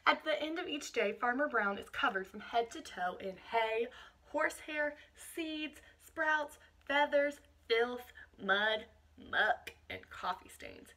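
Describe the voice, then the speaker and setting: A woman reading a picture book aloud to children in an expressive teacherly way, stopping to explain things. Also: a little high, slow. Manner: expressive, explains words and asks questions to young listeners.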